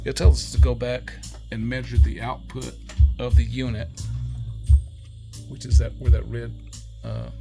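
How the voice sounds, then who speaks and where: Gravelly-voiced man giving a technical walkthrough. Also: medium pitch, level tone, a little slow, music in the background. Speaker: presenter demonstrating equipment calibration. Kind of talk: casual hands-on technical tutorial.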